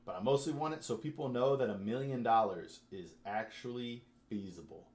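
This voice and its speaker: A laid-back man hosts a live stream and talks to his viewers and guests like friends. His voice sits at an ordinary middle pitch, and the room around him is echoey. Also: moderate pace, a little mellow. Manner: easygoing, talks like a buddy. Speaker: livestream host, financial advisor. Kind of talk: casual livestream chat.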